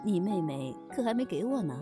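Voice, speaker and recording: Music plays behind a woman as a mysterious, aloof dealer in wishes. Her mid-pitched voice moves freely between teasing mockery and curt dismissal, in fantasy audio drama style. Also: sing-song, fairly quick, close to an everyday voice. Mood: mocking, cool. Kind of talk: Chinese audio drama acting. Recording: clear music under the voice.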